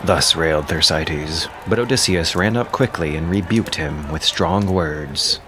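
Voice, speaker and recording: Casting: male narrator, lowish voice, solemn scripted delivery for an epic audio drama, clear background noise underneath. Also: unhurried.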